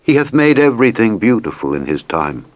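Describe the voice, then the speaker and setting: A man reading scripture as a solemn audiobook, in a measured, formal, declamatory manner. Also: fairly low pitch, very animated, no echo. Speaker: scripture reader. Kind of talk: solemn Bible audiobook narration. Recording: dull, muffled, lo-fi audio.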